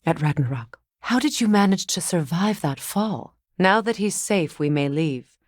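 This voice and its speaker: A woman playing a composed, grave goddess and warrior companion in a video game, speaking in measured, clipped remarks. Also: lowish voice, expressive, no echo, studio quality. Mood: grave, resolute.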